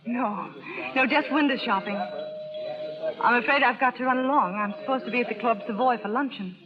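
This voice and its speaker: An actress playing a polite, guarded society wife in 1930s radio drama style. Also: female voice, a little high, a little fast, close to an everyday voice. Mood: uneasy, polite. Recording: dull 1930s radio, crowd noise behind.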